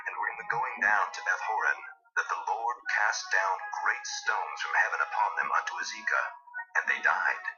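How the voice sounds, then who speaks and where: A man narrating dramatized scripture in a solemn storytelling manner. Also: lowish voice. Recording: muffled audio with music underneath.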